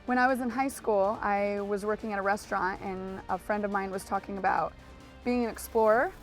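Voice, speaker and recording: Lively woman firefighter storyteller. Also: medium pitch, fairly quick. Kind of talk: interview testimonial. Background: soft music.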